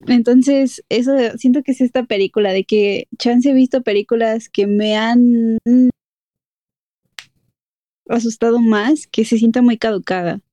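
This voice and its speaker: A woman's voice: a little high, fairly even intonation, moderate pace, few pauses, mellow, dry recording. A young woman with a Mexico City accent, chatting casually as podcast co-host and reviewing a film, laughing often and stretching out her fillers. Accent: Mexico City Spanish.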